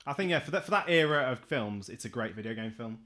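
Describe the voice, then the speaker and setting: A man's voice: mid-range voice, lively intonation, fast talker, a bit bright. A man co-hosting a podcast in casual banter with friends. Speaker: film podcast co-host.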